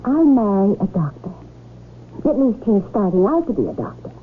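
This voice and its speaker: An actress playing a sharp-tongued, suspicious young English wife in a radio drama, speaking in a wry, pointed way. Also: female voice, mid-range voice, sing-song, normal speed, lightly acted. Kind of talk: old-time radio drama acting. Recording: tinny old radio broadcast, faint noise.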